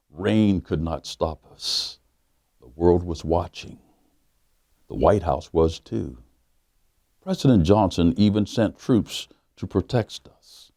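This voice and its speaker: A man, a pastor, reading a children's book aloud in a measured, emphatic way with dramatic pauses. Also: deep voice, dark tone, no room sound, studio quality. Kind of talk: storybook read-aloud for children.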